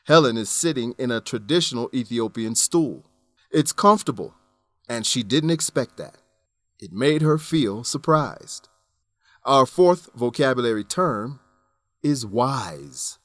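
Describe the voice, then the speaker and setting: A man hosting an English lesson, reading scripted explanations with careful, deliberate enunciation. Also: mid-range voice, a bit bright, dry recording. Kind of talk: scripted educational narration.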